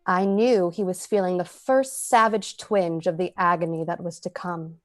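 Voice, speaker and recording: A woman's voice: lowish voice, steady tone, moderate pace, natural voice. Woman as a cold, calculating poisoner. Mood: cold, gloating. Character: cold, predatory, calculating poisoner telling the tale. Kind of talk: old-time radio drama narration.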